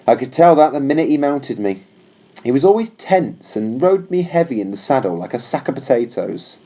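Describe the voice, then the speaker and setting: A man's voice: mid-range voice, dark tone. A man reading a scripted story aloud as narrator of an audiobook. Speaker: audiobook reader.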